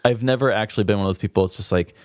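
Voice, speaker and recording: A man's voice: on the low side, rapid-fire, smooth, no echo, studio quality. A man co-hosting a podcast, telling stories in a casual, rambling, self-deprecating way. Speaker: podcast co-host. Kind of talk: casual chat, telling anecdotes.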